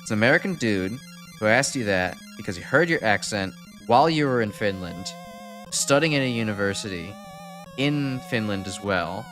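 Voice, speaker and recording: Young Chicago-accented male streamer, low-pitched, loose and rambling delivery, soft music underneath. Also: animated, normal speed, poor audio. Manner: rambling, self-correcting, chuckling. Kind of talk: casual game stream chat.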